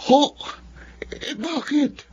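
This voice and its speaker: A man's voice: extremely high pitch, extremely slow, a little mellow, exaggerated voice. A man playing a concerned, questioning son in his twenties in a Filipino radio drama, earnest and pressing. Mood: shocked. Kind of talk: Filipino radio melodrama acting. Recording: dull lo-fi audio, clear background noise.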